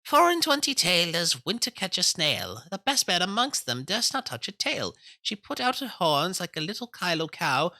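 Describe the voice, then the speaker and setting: A man voicing a chorus of tiny merry tailor mice, chanting nursery rhymes in high twittering voices. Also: wildly swooping pitch, moderate pace, few pauses, bright, forceful tone, full character voice. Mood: merry singing.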